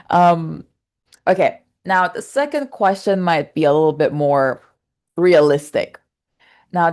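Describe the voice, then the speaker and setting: A woman's voice: sits low, a little mellow. A woman hosting an interview who laughs easily and speaks with many fillers. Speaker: interview host. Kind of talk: casual interview conversation.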